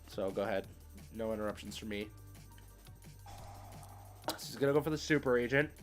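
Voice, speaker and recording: A man's voice: on the low side, a little fast, soft background music. A man giving casual live commentary as a game streamer, thinking aloud in informal gamer slang. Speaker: streamer hosting card game matches.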